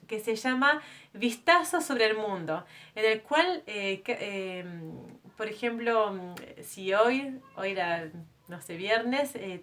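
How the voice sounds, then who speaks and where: A woman's voice: fairly high pitch, fairly bright tone. Earnest French woman sharing her testimony. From France. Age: 30s. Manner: earnest, conversational, many hesitations and self-corrections. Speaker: missionary giving her testimony. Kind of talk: personal testimony to a women's group.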